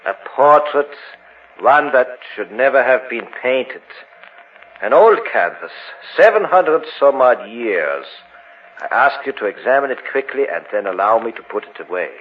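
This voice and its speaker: Ominous art dealer, dramatically swooping voice. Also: male voice, mid-range voice, big pitch swings, normal speed, breathy edge. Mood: ominous, solemn. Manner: theatrical, formal, portentous. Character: reluctant, ominous male art dealer, fearful and solemn. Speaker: actor in a radio horror play. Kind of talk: old-time radio drama acting. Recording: muffled old radio recording with hiss.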